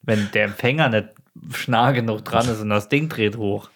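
A man's voice: fairly low pitch, moderate pace, fairly bright tone. A man co-hosting a podcast, chatting casually with flippant, jokey interjections. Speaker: podcast co-host. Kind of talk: casual banter.

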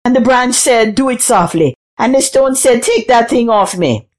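A woman voicing a frightened, excitable male farmer in a folk tale, agitated and breathless. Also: on the high side, normal speed, pitched above the performer's own voice, lightly acted.

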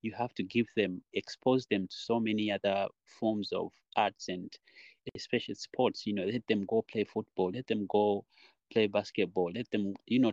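A young man from Uganda talks through his thoughts in a podcast interview, with a very low voice. He rambles reflectively and fills pauses with "you know". The sound is dry and a bit dull. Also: a little mellow, dry recording. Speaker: podcast guest, dancer and choreographer. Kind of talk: casual interview talk.

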